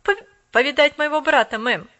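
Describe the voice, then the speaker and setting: A woman's voice: high-pitched, normal speed, bright, forceful tone, pitched above the performer's own voice. A woman reading aloud and voicing a proud poor boy who talks urgently and tries hard to be polite. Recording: dull lo-fi recording.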